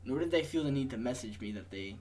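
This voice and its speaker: Man with lively pitch narrating a game. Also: fast talker, a little hiss or hum. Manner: informal, swearing, joking asides. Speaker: host reading the game aloud. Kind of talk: casual group game session, reading narration aloud.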